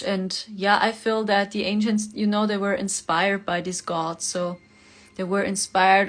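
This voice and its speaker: A woman whose first language is German, hosting a casual spiritual livestream, reading viewer comments and laughing often. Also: mid-range voice. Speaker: spiritual reader, livestream host.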